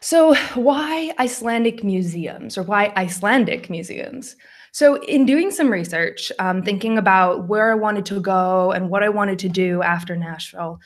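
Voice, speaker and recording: A young American woman presenting a talk over slides, friendly, with frequent ums and small laughs. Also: mid-range voice. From United States. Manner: friendly, laughs often, fillers like um. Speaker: conference speaker.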